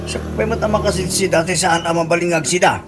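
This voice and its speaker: A man's voice: high-pitched, fairly even intonation, fast talker, lightly acted. An actor playing a stingy, selfish husband and father in a comedy radio drama, speaking with an Ilocano accent. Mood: defensive. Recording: noisy radio drama recording.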